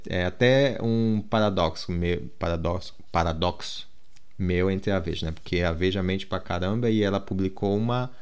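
Low-voiced Brazilian man rambling to viewers. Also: darkish tone, clear, resonant tone. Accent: Brazilian Portuguese. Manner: rambling, many restarts and fillers. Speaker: vlogger. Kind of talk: casual monologue to viewers.

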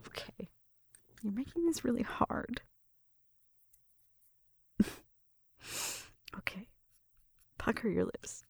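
Breathy, whispery flirty roommate played by a woman. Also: a little high, lively intonation, drawn-out words, long pauses, dry recording, clean studio sound, full character voice. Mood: flustered, teasing. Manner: soft whispering mixed with murmured talk, shy and teasing. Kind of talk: ASMR roleplay, intimate one-sided conversation.

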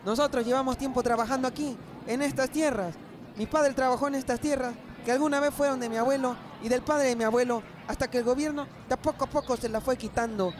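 Guy playing a humble mexican farmer husband, pretty high voice, country talk, radionovela, faint insect noise. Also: fairly even intonation, fast talker. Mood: indignant. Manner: rustic folksy speech. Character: humble, hardworking Mexican peasant husband, earnest and protective. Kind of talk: amateur student radionovela acting.

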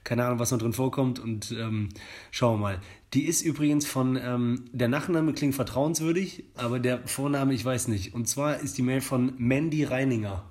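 A man's voice: medium pitch, moderate pace, a little mellow. A young man hosting a casual podcast, reading a scam email aloud in a mock-formal, joking way. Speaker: podcast host. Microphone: studio microphone.

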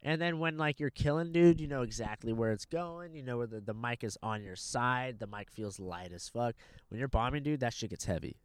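A young man hosting a casual podcast, relaxed and profane, calling everyone dude. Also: mid-range voice, a little fast, few pauses, clear, resonant tone, dry recording. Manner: laid-back, swears a lot, says dude constantly. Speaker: podcast host and stand-up comic. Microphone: handheld microphone.

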